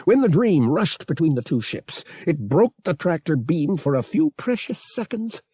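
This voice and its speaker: A man's voice: a little high, sing-song, moderate pace, mellow, husky, no echo, clean studio sound. A man reading an adventure novel as an audiobook narrator, in a dramatic scripted style.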